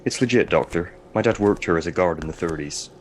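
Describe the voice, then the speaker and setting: A man playing a gruff, sceptical prison warden in an audio drama, dry, plain-spoken and commanding. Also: sits low, fast talker, slight background noise, natural voice. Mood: explaining.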